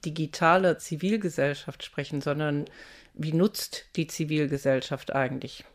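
A woman's voice: sits low, steady tone, moderate pace. Matter-of-fact woman in an archive clip. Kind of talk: interview answer.

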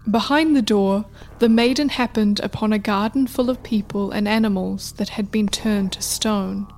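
A woman's voice: mid-range voice, normal speed, darkish tone. A woman narrating a scripted folktale as the storyteller of a radio play. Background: music.

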